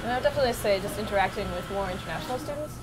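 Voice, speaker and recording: A woman in her twenties, a former exchange student, talking in a relaxed, chatty way. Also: medium pitch, racing, very noisy. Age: 20s. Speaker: former study abroad student. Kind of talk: casual interview talk. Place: small shop, indoors.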